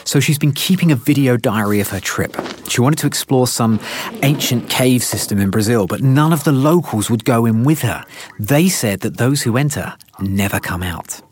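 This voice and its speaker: An actor playing a perky, nerdy male scientist in a naturalistic audio drama, eager and pedantic, slightly husky. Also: medium pitch, expressive, moderate pace, slight background noise, natural voice. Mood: intrigued.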